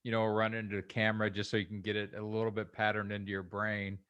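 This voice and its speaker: A man coaching a comic, casual and encouraging, with a halting way of talking. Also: lowish voice, level tone, a bit bright, clear, resonant tone, highs cut off. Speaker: comedy coach.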